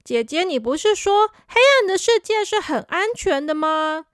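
A woman voicing an excitable little boy in a children's story, eager and a bit whiny. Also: very high-pitched, normal speed, dry recording, studio quality. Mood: pleading.

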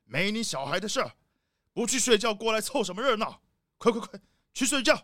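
A man's voice: high voice, sing-song, brisk, a bit bright, no echo, studio quality. A man playing an arrogant, short-tempered rich leopard boss with a sneering, blustery manner. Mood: impatient.

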